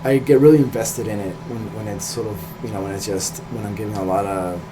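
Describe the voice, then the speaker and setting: Man, middle-aged, low voice, from Peru, casual interview, hesitant and informal, noticeable background hum. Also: steady tone, quick pace. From Peru, later California. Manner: informal, hesitant, thinking aloud. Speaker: illustrator, interviewee.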